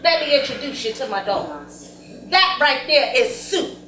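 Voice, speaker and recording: A stage actress playing a brash, bossy, sharp-tongued church woman in a gospel play. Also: high voice, big pitch swings, a little slow, a little hiss or hum, full character voice. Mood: threatening, sassy. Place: indoor stage in a furnished hall. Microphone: distant camera. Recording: distant echoey stage recording, loud music.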